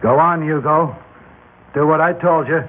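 A man's voice: normal speed, close to an everyday voice. An American actor playing a panicky, bossy male crook with urgent, stammering pleas in an old radio drama. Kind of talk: 1960s radio drama acting. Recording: noisy vintage radio, tinny, vehicle rumble.

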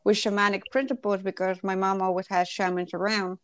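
A woman's voice: mid-range voice, little pitch movement, fairly quick, fairly bright tone, smooth, no room sound. A woman in her forties from Ecuador, a relationship and intimacy coach, speaking earnestly and reflectively as a podcast guest. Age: 40s.

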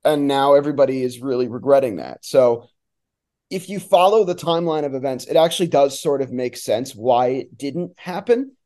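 A man's voice: medium pitch, moderate pace, warm tone. A man talking as a sports announcer guest, giving emphatic, opinionated analysis in a conversational way.

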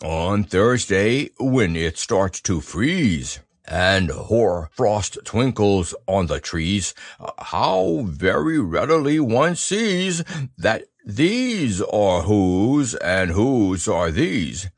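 A man voicing a slow, simple-minded, gentle male bear who stammers and hesitates. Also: lowish voice, very animated, no echo, studio quality, heavily acted. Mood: reciting.

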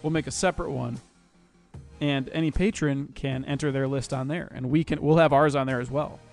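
A man's voice: mid-range voice, steady tone, a little fast, vocal fry, darkish tone, faint music behind. A young man co-hosting a podcast, goofy and joking, laughing often. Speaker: podcast co-host. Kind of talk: casual podcast chat.

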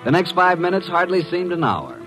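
An American man acting a wry, deadpan, unflappable detective's assistant in the style of 1950s radio drama, also narrating. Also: high-pitched, brisk, rough recording. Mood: dry narration. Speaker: actor and first-person narrator. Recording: vintage radio drama with music.

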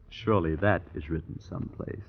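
Phone-thin vintage radio sound, restless young man, low pitch, taunting, theatrical. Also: creaky, slightly rough, exaggerated voice. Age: young adult. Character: insolent, restless young son in colonial India. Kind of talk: old radio drama acting. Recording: tinny, narrow-band old radio recording.

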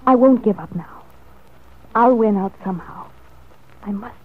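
An anxious but determined wife in a vintage radio soap. Her delivery is earnest and dramatic, with a slightly husky voice, and the old recording is thin and muffled. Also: fairly high pitch, unhurried. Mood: brooding resolve. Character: determined, anxious married woman longing for a baby. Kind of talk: 1940s radio soap opera acting.

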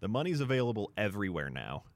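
A man's voice: on the low side, big pitch swings, quick pace, dry recording. A man co-hosting a sports talk show, explaining things point by point in a discussion. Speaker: sports radio show co-host.

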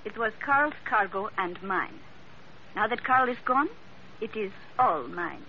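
A woman's voice: mid-range voice, expressive, measured pace. A woman playing a scheming foreign femme fatale with a Spanish accent, speaking in careful, formal phrases in an old-time radio drama. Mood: anxious, insistent. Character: sultry, scheming foreign woman, outwardly grieving and frightened. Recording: muffled, noisy old radio recording.

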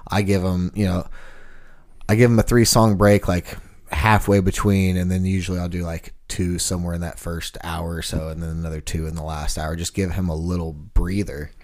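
A man with a low voice talks in a lively, storytelling way. He acts out the lines of the people in his stories. The chat is casual and quick. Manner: animated storyteller, acts out quotes.

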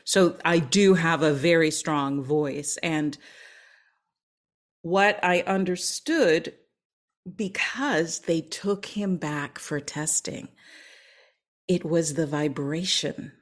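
A woman who sings opera and coaches others tells her story in an interview. She speaks slowly and in a fairly low voice, earnest and emphatic. On her key lines she drops to a whisper. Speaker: guest, opera singer and coach.

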